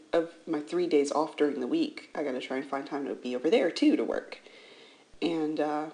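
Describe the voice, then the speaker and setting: Female art vlogger, lowish slightly scratchy voice, casual rambling voice-over, self-deprecating. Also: a little mellow. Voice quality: slightly scratchy early-morning voice. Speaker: art channel host. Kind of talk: casual chatty voice-over commentary on a drawing. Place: indoors, seated at a computer.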